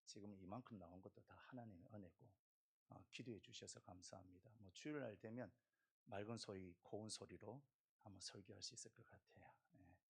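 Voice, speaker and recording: Hoarse, gravelly male pastor. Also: lowish voice. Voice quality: gravelly, husky. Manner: slow, deliberate scripture reading. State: hoarse from a throat cold. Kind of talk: church service introduction.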